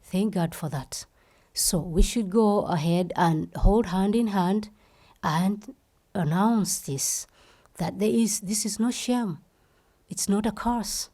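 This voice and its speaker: A woman's voice: mid-range voice, slow pace, dark tone. A woman from Uganda, the founder of a festival, giving an impassioned speech and repeating phrases for emphasis.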